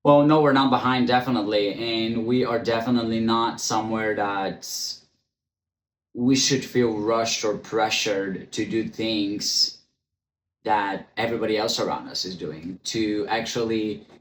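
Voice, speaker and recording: A man's voice: lowish voice, fairly even intonation, unhurried, a little hiss or hum. Candid male podcast host, echoey room. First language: not English. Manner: stutters, restarts, many ums; frank, blunt, swears casually. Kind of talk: candid solo podcast monologue. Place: room, recording on camera. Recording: echoey room, faint ambience.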